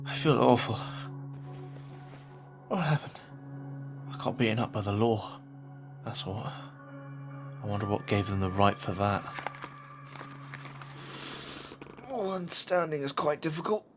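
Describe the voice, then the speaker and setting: Casting: male actor as proud young man, hurt and embittered, mid-range voice with lively swings, audio drama, music behind. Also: medium pitch, moderate pace, pauses often, lightly acted. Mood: groggy, pained. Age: young adult. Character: injured, bitter young husband, proud and despairing.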